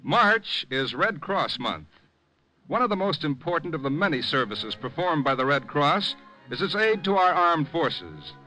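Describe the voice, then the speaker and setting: Old-time radio announcer guy, high forceful voice, formal and dramatic, bit of music under him. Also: sing-song, a little slow, poor audio. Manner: formal, emphatic, dramatic projection. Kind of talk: 1950s radio announcing, scripted. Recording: vintage 1950s radio broadcast recording.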